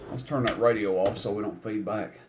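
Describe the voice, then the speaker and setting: A man's voice: on the low side, a little fast. A man giving a casual hands-on equipment demonstration in a plainspoken, folksy, matter-of-fact way. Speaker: CB radio seller demonstrating equipment.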